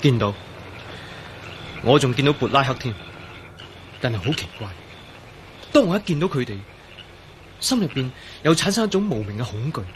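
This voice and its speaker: A man's voice: mid-range voice, sing-song, moderate pace, long pauses, a little hiss or hum, close to an everyday voice. An actor playing a frightened, hunted young man in a radio drama, anxiously explaining himself. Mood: anxious recounting. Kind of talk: Cantonese radio drama acting.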